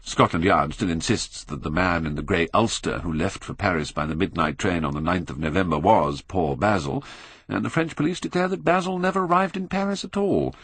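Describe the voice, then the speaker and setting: A man voicing a languid, witty, cynical older Victorian gentleman in an audiobook, with a British accent and a blasé drawl. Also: sits low, a little fast, breathy edge, dry recording, exaggerated voice. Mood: arch, amused.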